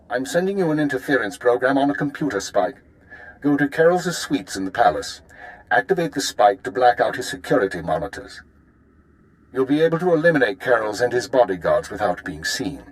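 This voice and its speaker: An actor playing a calm, calculating imperial intelligence handler in a video game, briefing formally and in measured phrases. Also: male voice, medium pitch, lightly acted, rough recording. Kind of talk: dramatic video game acting.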